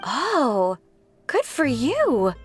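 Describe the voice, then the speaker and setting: Aloof, slow-spoken young girl. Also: high voice, huge pitch swings, exaggerated voice. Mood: wary, unimpressed. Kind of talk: video game dialogue. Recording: faint music behind.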